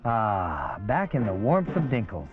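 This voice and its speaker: A man's voice: on the low side, wildly swooping pitch, a little slow. A man reading a wry, lightly humorous documentary narration as a voice-over. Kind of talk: scripted narration. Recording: tinny old 1981 film soundtrack with music.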